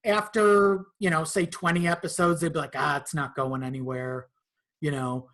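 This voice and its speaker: A man's voice: on the high side, flat delivery, normal speed, a bit bright. Easygoing-worded male podcast guest, casual talk. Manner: informal, conversational, swears. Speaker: podcast guest, content creator.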